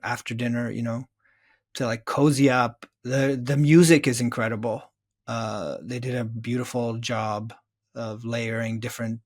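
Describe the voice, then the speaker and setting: A middle-aged man talking reflectively as a podcast guest, earnest, building his points in emphatic, repeated phrases. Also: medium pitch. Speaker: guest, children's story author. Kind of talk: reflective podcast interview conversation.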